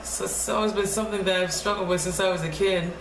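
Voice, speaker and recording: A young woman speaking quietly in a low, deep voice, talking haltingly and restarting her words often in a casual personal vlog at home. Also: steady tone. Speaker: vlogger. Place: home room. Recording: echoey room with faint noise.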